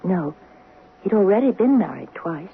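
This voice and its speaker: A woman's voice: fairly low pitch, very animated, moderate pace, vocal fry, slightly rough, full character voice. An actress playing an anxious, trusting young wife in her late twenties in a 1970s radio drama, in dialogue and first-person narration. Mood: subdued, hesitant. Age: late 20s. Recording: muffled narrow-band vintage radio, faint hiss.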